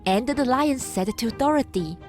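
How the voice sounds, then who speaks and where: A woman's voice: sits high, expressive, normal speed. A woman narrating a children's story from a script as an audiobook reader. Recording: music behind the voice.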